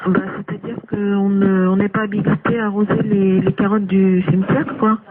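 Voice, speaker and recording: A woman's voice: mid-range voice, little pitch movement, normal speed. A woman working as an office receptionist, speaking hesitantly and politely on the phone, bemused but reasoning with the caller. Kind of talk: phone conversation. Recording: thin noisy telephone line.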